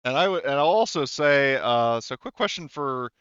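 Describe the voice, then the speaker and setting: A man's voice: mid-range voice, lively intonation, normal speed, fairly bright tone, smooth, no room sound, dull audio. A man casually narrating and explaining as game master of a tabletop roleplaying game, wandering into asides.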